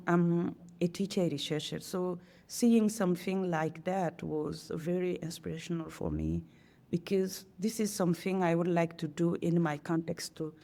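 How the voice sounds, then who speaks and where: A woman's voice: lowish voice, mellow. A woman, a teacher researcher and guest editor, talking earnestly and reflectively about her project in an interview. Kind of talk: academic interview.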